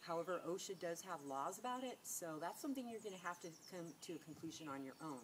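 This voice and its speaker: A middle-aged woman talks to camera in a loose, rambling way, full of fillers and restarts. Her voice sits slightly low and sounds nasal and blocked from a cold. The outdoor camera audio carries clear background noise. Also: expressive, quick pace. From California. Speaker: beauty vlogger. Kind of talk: casual talk to camera. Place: outdoors against a sunlit wall. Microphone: camera on a tripod.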